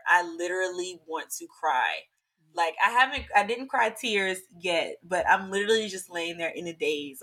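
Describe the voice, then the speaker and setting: A young woman with a slightly hoarse voice, telling a personal story in chatty, animated talk full of fillers. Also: on the low side. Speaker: podcast guest. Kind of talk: casual storytelling.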